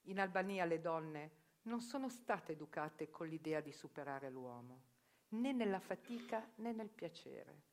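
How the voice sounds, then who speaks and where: Older sworn virgin, stern, proud, lowish voice, theatre monologue. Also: female voice, animated, unhurried. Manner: stern, proud, matter-of-fact testimony. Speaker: actress performing a theatrical reportage.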